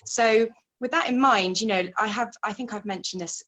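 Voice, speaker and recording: A woman's voice: mid-range voice, moderate pace, a bit bright. A woman hosting a casual interview, talking in an informal, reflective way as she explains ideas. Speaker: host.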